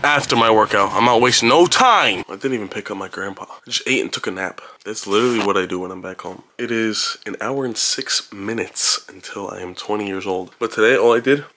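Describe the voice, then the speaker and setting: A man's voice: on the low side, a little fast, few pauses, pushed and bright. A twenty-year-old American man vlogging casually, with slangy, crude, teasing talk and plenty of swearing. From New Jersey, United States. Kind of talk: casual vlog chat to camera, heavy swearing. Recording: noisy handheld vlog audio.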